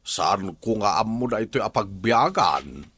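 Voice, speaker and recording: A man's voice: fairly low pitch, lively intonation, measured pace, a bit bright, husky, no room sound, exaggerated voice. A man playing a scheming, exclamatory buddy in an Ilocano comedy drama, with an Ilocano accent. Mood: amused, scheming. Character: scheming comic buddy hawking leaves as cures.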